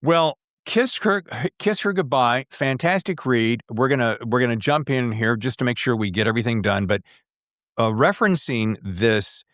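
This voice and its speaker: A man's voice: mid-range voice, animated, moderate pace, fairly bright tone, no room sound, clean studio sound. An American man hosting a conversational book interview, effusive and rambling as he thinks aloud. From United States. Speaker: podcast host interviewing an author.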